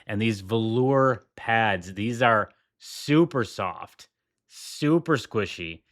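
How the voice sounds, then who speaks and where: A man's voice: lowish voice, lively intonation, slow pace, fairly bright tone. A man hosting a tech video, walking through a product demo with enthusiasm and repeating his points for emphasis. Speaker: tech reviewer.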